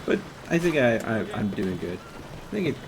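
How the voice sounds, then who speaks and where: A man's voice: lowish voice, sing-song, normal speed, noisy background. A man giving casual live gameplay commentary, thinking aloud as he plays. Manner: thinks aloud, talking to himself and the game. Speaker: game streamer. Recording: game music behind the voice. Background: game music.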